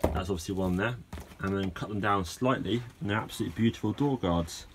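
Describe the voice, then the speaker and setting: A man's voice: deep voice, a little fast, noisy background. Chatty man filming on his phone. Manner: chatty and rambling, lots of fillers and restarts. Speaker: car owner vlogger. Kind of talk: casual how-to vlog. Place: outdoor garage yard. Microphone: phone camera held while filming.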